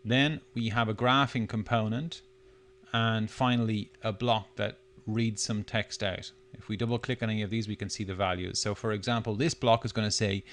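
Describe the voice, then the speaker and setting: A man presenting a software demo, talking through it step by step in a loosely unscripted, explanatory way. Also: lowish voice, fairly even intonation, normal speed. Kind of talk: step-by-step screencast tutorial narration.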